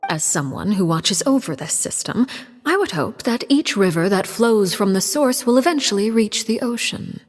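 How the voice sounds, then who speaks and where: An actress playing a poised, cold female diplomat and matriarch in a video game, speaking calmly and precisely. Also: medium pitch, moderate pace, big-room sound, lightly acted. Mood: measured. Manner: calm, precise, quietly commanding.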